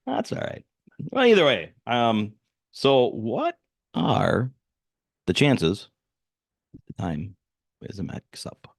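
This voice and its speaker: Man, animated pitch movement, casual podcast co-host, joking chat, echo-free sound. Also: takes it slowly, creaky, long pauses, clean studio sound.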